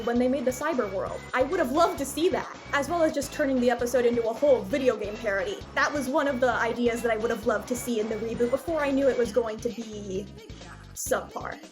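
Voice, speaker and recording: High-voiced woman giving an opinionated review. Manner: conversational, opinionated, openly exasperated. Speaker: video reviewer. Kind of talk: cartoon review commentary with live reactions. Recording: voice-over with background music. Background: music.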